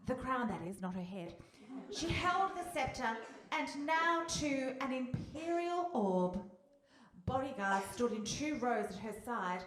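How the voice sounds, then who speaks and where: High-voiced woman narrator in an echoey hall. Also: sing-song, a little slow. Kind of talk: scripted storytelling narration, live radio play. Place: hall, live stage performance. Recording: distant mic, echoey hall, faint noise.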